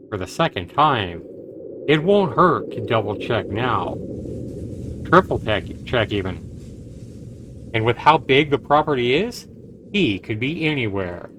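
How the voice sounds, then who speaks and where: Slow, theatrical male game streamer. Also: medium pitch. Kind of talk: dramatic game read-aloud with commentary. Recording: noisy home livestream.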